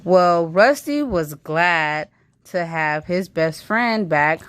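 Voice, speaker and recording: A woman reads a children's book aloud. Her voice sits low for a woman, and she takes the story at an unhurried, slow pace, narrating it as a read-aloud. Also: expressive, fairly bright tone, clean tone.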